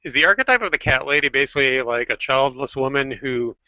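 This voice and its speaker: Male chat participant, mid-pitched, conversational, close dry sound with a dull internet-call finish. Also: lively intonation, fast, no room sound. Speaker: participant in an online hangout. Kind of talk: casual group chat. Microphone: online voice call.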